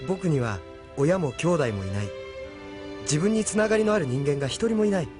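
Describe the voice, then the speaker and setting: A man's voice: on the high side, expressive, fairly quick, music in the background, lightly acted. An actor playing a sincere, pensive nineteen-year-old young man in an audio drama, speaking earnestly. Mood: wistful. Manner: earnest, wistful, introspective. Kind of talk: anime-style audio drama acting.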